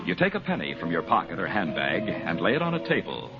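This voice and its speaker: A man pitching a mail-in offer as a scripted 1940s radio announcer and showman. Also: wildly swooping pitch, fast. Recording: dull vintage radio recording. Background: loud music.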